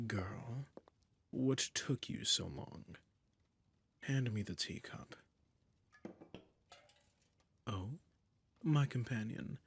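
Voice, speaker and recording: A domineering male emperor, coldly tender and possessive, speaks in a low, slow, half-whispered audio roleplay, as a man acts him out. His voice is gravelly and breathy, and he talks down with silky condescension. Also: a bit bright, dry recording, exaggerated voice.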